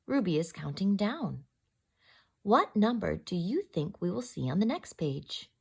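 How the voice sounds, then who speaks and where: A woman reads a picture book aloud for young children as their storyteller. Her delivery is slow and very animated in pitch, with some creak in the voice. She speaks directly to her little listeners. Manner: expressive, addresses young listeners with questions. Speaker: storyteller, host.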